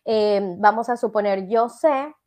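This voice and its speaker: A woman's voice: mid-range voice, measured pace, darkish tone. A woman from Venezuela with a Venezuelan accent, a coach explaining her ideas in an interview, with frequent fillers and tag questions. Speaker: life coach guest. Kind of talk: interview conversation.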